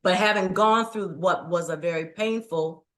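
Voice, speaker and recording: Middle-aged woman, low-ish voice, thoughtful and earnest storytelling. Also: normal speed, a bit bright. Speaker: guest, writing coach. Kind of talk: casual interview chat.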